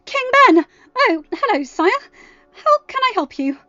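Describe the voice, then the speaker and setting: A woman's voice: very high-pitched, big pitch swings, unhurried, sharp tone, no room sound, dull audio, voice pushed up, exaggerated voice. A woman voicing a prim, condescending royal handler who scolds and huffs, in an audio story. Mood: flustered. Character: snooty, scolding young female royal handler.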